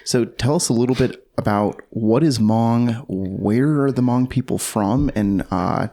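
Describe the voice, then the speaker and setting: A man's voice: medium pitch, measured pace, warm tone. A man co-hosting a church podcast, introducing the show and asking questions in a friendly, teasing way. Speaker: podcast co-host. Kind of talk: casual chat.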